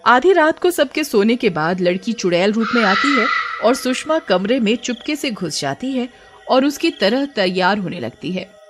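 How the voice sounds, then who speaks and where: A woman narrates a horror story in a scripted, dramatic style. Her voice is on the high side and swings expressively, with music playing behind it. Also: sing-song, normal speed.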